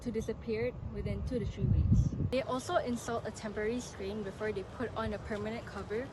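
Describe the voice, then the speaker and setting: A woman reads documentary narration in a mid-range voice. The recording is clearly noisy, with outdoor city ambience and vehicles around her. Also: mid-range voice, steady tone, brisk. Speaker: student documentary presenter. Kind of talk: scripted narration. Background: urban outdoor ambience, vehicles.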